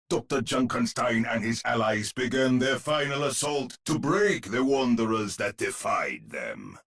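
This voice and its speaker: A man playing a grave storyteller who narrates a dark Halloween tale for a video game in an ominous, theatrical manner with a husky voice. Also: low voice, exaggerated voice.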